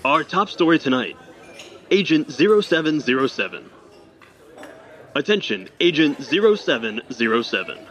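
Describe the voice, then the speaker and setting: A man's voice: a little high, very animated, moderate pace, pauses often, fairly bright tone. A man playing a formal television news anchor making an announcement in an audio drama. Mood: formal, urgent.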